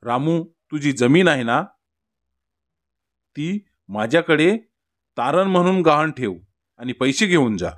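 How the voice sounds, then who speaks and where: A man's voice: a little high, lively intonation, measured pace, long pauses. A man playing a stern, grasping village moneylender in a cartoon story, with a blunt, unyielding manner. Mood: hard bargaining. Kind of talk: scripted cartoon story dialogue.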